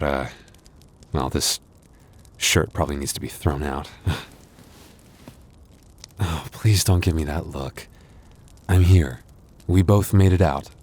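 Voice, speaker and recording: A man playing a wounded, tender and protective werewolf boyfriend in an intimate ASMR roleplay, speaking in a halting, softly earnest, weary way. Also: rumbling low, full character voice. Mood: weary, reassuring. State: weary, hurting.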